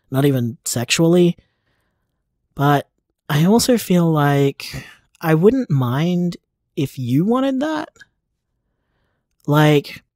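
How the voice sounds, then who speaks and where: An actor playing an affectionate, easygoing boyfriend in an audio drama, chatting reflectively in a late-night voice message. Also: male voice, fairly high pitch, darkish tone, dry recording, clean studio sound.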